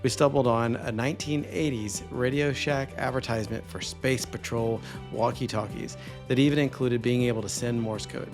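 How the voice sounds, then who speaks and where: A man's voice: medium pitch, steady tone, normal speed, hardly any pauses, over music. An American man co-hosting a hobby radio channel, delivering scripted narration. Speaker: co-host of a ham radio channel.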